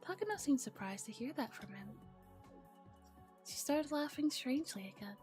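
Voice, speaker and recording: A soft-spoken woman streamer reading a visual novel aloud in an ASMR style, often dropping into whispers and adding casual comments. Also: sits high, animated, normal speed, soft background music.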